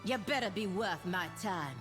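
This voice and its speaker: A woman's voice: mid-range voice, animated, normal speed, over music, lightly acted. An actress voicing a fierce young troll warrior woman in a fantasy video game, blunt, intense and defiant. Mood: threatening.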